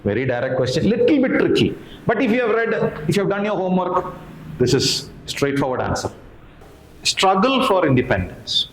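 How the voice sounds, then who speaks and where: A man's voice: sits high, very animated, normal speed. A middle-aged Indian-accented man giving an informal exam-analysis lecture as a history teacher, emphatically repeating phrases and asking questions he answers himself. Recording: roomy echo, clear background noise.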